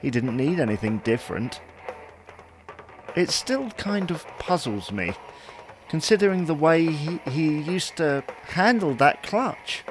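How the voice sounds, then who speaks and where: A man's voice: a little high, lively intonation, a little slow, soft background music. A man playing a chatty, fussy, wryly puzzled husband in an audio sitcom. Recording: noticeable background noise.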